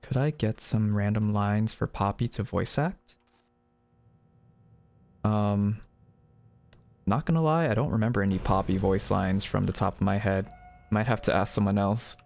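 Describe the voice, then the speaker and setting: A man's voice: fairly low pitch, unhurried, vocal fry, pauses often, darkish tone. Guy on a livestream just chatting, reads out chat msgs and answers them, laid back convo style. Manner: reads chat messages aloud and replies. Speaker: live streamer. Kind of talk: casual chat with viewers.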